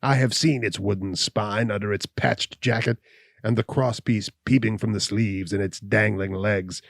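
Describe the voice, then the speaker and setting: Man reading as a defiant hunchback landowner, low gravelly voice, dry sound, sneering delivery. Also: steady tone, moderate pace, dry recording. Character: scornful hunchbacked old landowner, defiant and cynical.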